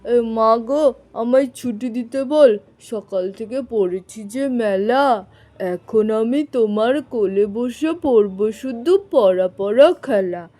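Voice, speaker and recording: A woman's voice: a little high, slow pace, warm tone. A woman voicing an eager, forgetful young pupil doll, earnest and childlike. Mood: earnest reciting.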